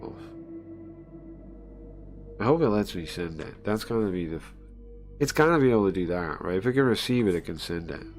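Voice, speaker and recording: A man's voice: lowish voice, lively intonation, a little fast, pauses often, soft background music. A man livestreaming casually, thinking aloud, muttering to himself and repeating phrases as he goes.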